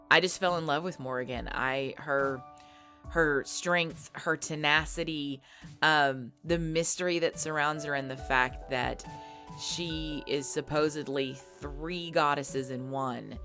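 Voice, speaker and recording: Low-pitched woman, casual unhurried Q&A host, light music bed behind. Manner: soft, thoughtful, with fillers and restarts. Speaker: ASMR creator answering viewer questions. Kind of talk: casual Q&A talk. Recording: faint background music.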